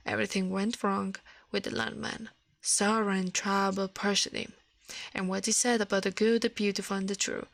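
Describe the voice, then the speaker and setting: A woman's voice: medium pitch, gravelly, no room sound. A woman giving an ASMR reading of a storybook, stumbling now and then and correcting herself. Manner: reads with stumbles and self-corrections. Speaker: ASMR streamer.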